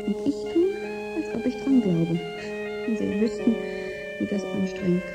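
A woman's voice: medium pitch, big pitch swings, a little slow, creaky, loud music behind the voice. An actress playing a disillusioned, sardonic seventeen-year-old girl in a German science fiction radio drama. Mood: wistful, bitter.

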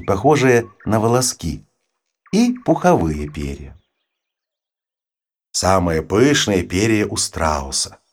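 A man with a husky voice reads an educational narration for children in an expressive, storytelling manner. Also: lowish voice. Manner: storytelling, poses questions to the listener. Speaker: audiobook narrator.

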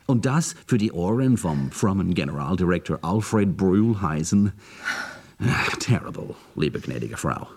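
A man's voice: low voice, sing-song, unhurried, vocal fry, darkish tone, slightly rough, heavily acted. An actor playing a cynical male corporate spy, mocking in tone and slipping words into his talk. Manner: mocking, mixes English phrases into German. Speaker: industrial spy. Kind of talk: radio drama acting.

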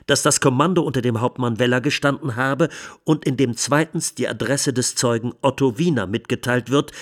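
An actor playing an indignant, sardonic lawyer in a radio play, addressing a court in with rhetorical emphasis and pointed pauses. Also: male voice, medium pitch, close to an everyday voice. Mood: pointed, incisive.

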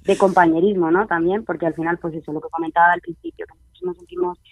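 A man's voice: sits high, big pitch swings, quick pace, gravelly, no room sound. Young man on a tinny phone line. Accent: Spain Spanish. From Alcorcón, Spain. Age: young adult. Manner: earnest, with frequent fillers and restarts. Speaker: guest, member of a youth collective. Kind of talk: casual radio chat.

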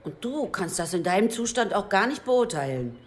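A woman's voice: medium pitch, sing-song, moderate pace, natural voice. An actress playing a pert woman at home who answers back, in a domestic radio drama. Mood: defensive, cheeky. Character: pert female member of the household, answering back. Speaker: actor. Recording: faint room noise, clicks behind.

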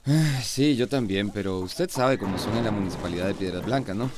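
An actor with a Costa Rican accent playing an obliging, hesitant village helper. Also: male voice, fairly low pitch, brisk, lightly acted. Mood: deferential. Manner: deferential, hesitant. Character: obliging village helper, middle-aged man. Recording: hissy old radio drama tape.

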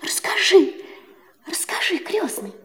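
A woman's voice: very high voice, sing-song, takes it slowly, fairly bright tone, heavily acted. An actress playing a curious, tender-hearted little girl who questions eagerly in a fairy-tale audio play.

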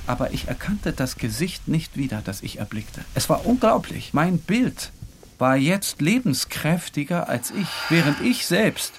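A man giving a dramatic first-person literary narration, brooding, with phrases broken by pauses. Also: fairly high pitch, sing-song. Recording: clear ambient background noise.

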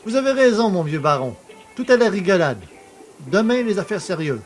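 A male actor plays a cheeky working-class poacher in a radio-style drama. His voice sits a little high, and he talks with familiar, colloquial swagger. Faint music plays behind him. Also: sing-song, normal speed. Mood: merry. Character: cheeky, boastful working-class poacher, freed innocent and celebrated. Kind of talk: audio drama acting.

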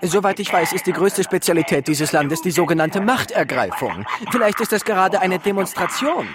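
An actor playing a loyal, wry valet who both speaks and narrates in a radio play. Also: male voice, sits high, expressive, moderate pace, very noisy. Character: wry, loyal male valet telling the journey. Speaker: servant and narrator. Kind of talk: radio drama acting and narration.

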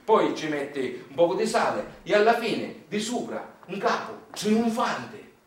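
A man's voice: sits high, sing-song, a little slow. An actor playing a folksy, garrulous Sicilian man in a theatrical monologue, with a colloquial, repetitive and emphatic way of talking and a Sicilian accent. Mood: instructive, animated. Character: folksy, garrulous Sicilian man explaining recipes to his son. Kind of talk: theatrical storytelling monologue in dialect-laced colloquial speech. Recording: roomy, distant stage recording with faint noise.

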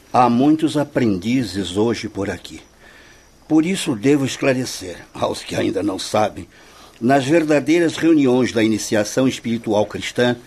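A man's voice: medium pitch, a little mellow, breathy edge. An actor playing a dignified man who leads a spiritual assembly in a radio drama, addressing it formally and instructively.

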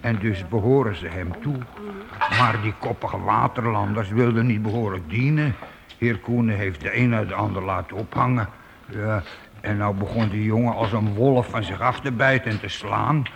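Creaky-voiced, hesitant medieval gatekeeper. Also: male voice, on the low side, normal speed. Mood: confiding. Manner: hesitant, confiding, folksy. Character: simple, kind-hearted medieval castle gatekeeper. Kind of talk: radio play acting. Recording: noisy old radio recording.

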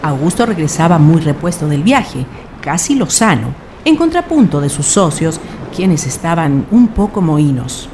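A woman narrating a scripted radio drama in an expressive storytelling manner. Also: low voice, moderate pace, background noise.

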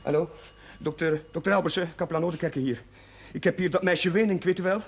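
An actor playing a flustered, caring young chaplain in a television drama, talking in an agitated, halting way. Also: male voice, a little high, fairly even intonation, breathy edge. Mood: flustered, urgent. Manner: agitated, halting, repeating himself. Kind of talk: naturalistic television drama acting. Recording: noisy, hissy old television soundtrack.

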